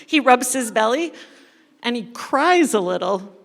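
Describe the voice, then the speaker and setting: A woman reading a story dramatically to a congregation as a church storyteller, expressive and deliberate. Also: fairly high pitch, gravelly. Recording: echoey hall with long reverb.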